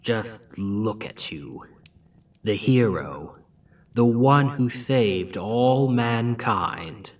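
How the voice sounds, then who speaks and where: Man playing a scheming demon king for ASMR roleplay, low-pitched and unhurried, mocking drawl with whispers, mic set back. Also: recorded from a distance, full character voice. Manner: slow, soft-spoken, mocking drawl with dips into whisper.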